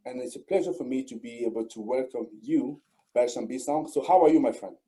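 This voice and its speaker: Dark-voiced male interview host. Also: medium pitch, a little fast, poor audio. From Cameroon. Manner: formal, repeats phrases, emphatic greetings. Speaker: host and channel founder. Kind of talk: online interview.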